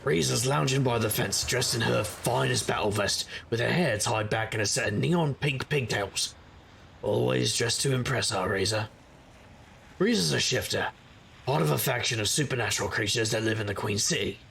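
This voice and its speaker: Male actor as a sardonic noir investigator, creaky gravelly voice, conversational storytelling, audio drama, slight noise behind. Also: mid-range voice, normal speed, a bit bright. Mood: wry. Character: wry hard-boiled supernatural private investigator, man. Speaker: narrator and lead character. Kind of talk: noir first-person scripted narration.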